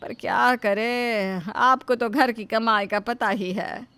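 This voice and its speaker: A woman voicing a greedy, sharp-tongued middle-aged mother-in-law in a story, loud and dismissive. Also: on the high side, steady tone, slow, clean tone, exaggerated voice. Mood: scheming.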